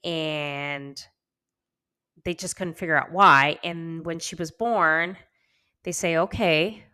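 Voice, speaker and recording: Stuffy-nosed woman in her 30s talking straight to moms, kinda nasal, bright and crisp voice, lowish pitch. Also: fairly even intonation, slow. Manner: candid, reassuring, speaks straight to listening moms. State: congested, a little nasal from a cold. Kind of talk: personal storytelling monologue.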